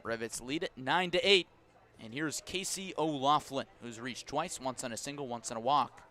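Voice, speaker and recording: A man calling live baseball play-by-play as a radio announcer, measured between pitches and lifting with the action. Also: bright, forceful tone. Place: outdoor ballpark broadcast.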